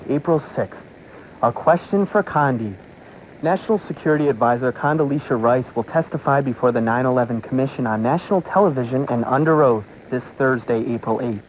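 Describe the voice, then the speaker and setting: A man's voice: mid-range voice, a little mellow. Man reading on faintly noisy shortwave radio. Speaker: shortwave radio talk show host. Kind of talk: reading an article aloud on air.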